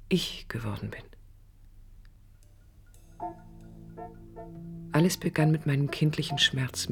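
Wistful young woman, slightly creaky voice. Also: sits low. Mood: quiet, introspective. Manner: introspective, wistful, lyrical. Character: lonely, pensive young woman architect addressing her absent brother. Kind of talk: intimate radio drama monologue.

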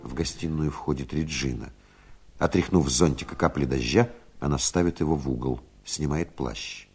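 A man's voice: very deep voice, normal speed, a little mellow, faint music behind. A man narrating a radio play from a script.

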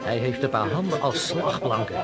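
A man's voice: medium pitch, animated, moderate pace, over loud music, natural voice. An actor playing a plain-spoken, hearty seaman in a radio play. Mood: admiring, grave. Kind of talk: Dutch radio play acting.

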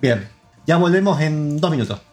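An Argentine man hosts a relaxed gaming podcast in a somewhat high voice, rambling from one news item to the next and reading listener comments aloud. Soft music plays faintly underneath. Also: moderate pace. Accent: Argentine Spanish. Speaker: podcast host. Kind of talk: casual gaming news chat.

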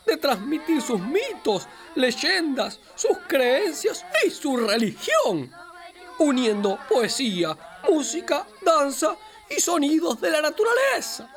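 Friendly wise capybara acted by a woman, Argentine accent, high voice, unhurried, patient storyteller, children's radio play. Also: full character voice. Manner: patient, expressive explaining, storyteller-like. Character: friendly wise capybara guiding a little girl. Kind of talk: children's radio theatre acting.

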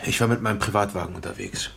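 A middle-aged politician with a fairly low male voice. He speaks formally and carefully, guarded and quick to justify himself. The radio drama acting is naturalistic, over faint background ambience. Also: sing-song, gravelly, a bit bright, slight background noise, heavily acted. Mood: self-justifying, controlled. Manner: measured, formal, defensive.

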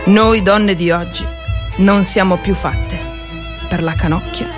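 A woman's voice: on the low side, steady tone, takes it slowly, over music. A woman performing a theatrical monologue as an ironic, cultured society lady and proud wartime volunteer nurse, with rhetorical, emphatic delivery. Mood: solemn. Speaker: solo stage actress.

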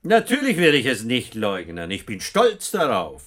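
An actor playing a self-assured, probing psychoanalyst in a German radio drama, eloquent and effusive, with rhetorical emphasis. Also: male voice, mid-range voice, huge pitch swings, normal speed, fairly bright tone. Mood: provocative.

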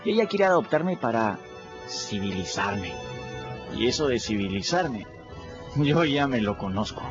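A man's voice: medium pitch, big pitch swings, measured pace. An actor playing a plain-spoken, restless runaway teenage boy who narrates his own story in a casual, folksy way, in a radio drama. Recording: muffled radio play with music.

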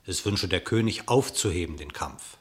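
A man narrating a classic radio play in verse, in an expressive, declamatory storytelling manner. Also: deep voice, normal speed.

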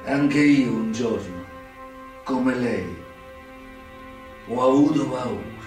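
Slow, brooding old ex-mobster, echoey. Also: male voice, medium pitch, lively intonation, a little mellow. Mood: grim, defiant. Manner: halting, deliberate, theatrical delivery with long pauses. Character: old, sick former mobster turned informant, brooding. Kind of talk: radio play acting. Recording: very echoey, distant recording with music behind.

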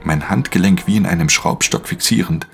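Very deep, gravelly brooding thief. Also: male voice, a little fast, a bit bright, a little hiss or hum. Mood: tense narration. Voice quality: low, gravelly. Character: brooding, guarded male pickpocket telling his own story. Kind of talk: radio drama narration and dialogue.

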